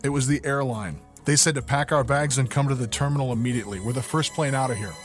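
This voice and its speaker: An actor playing a calm, practical family father in a radio drama. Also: medium pitch, fast talker, soft background music, close to an everyday voice. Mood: matter-of-fact.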